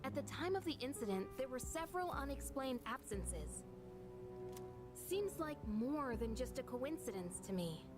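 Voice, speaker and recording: A woman's voice: high voice, big pitch swings, moderate pace. A woman playing an assertive, suspicious teenage schoolgirl in an anime game, pressing her points confrontationally. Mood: pointed, suspicious. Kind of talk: English anime game voice acting. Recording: loud music under the voice.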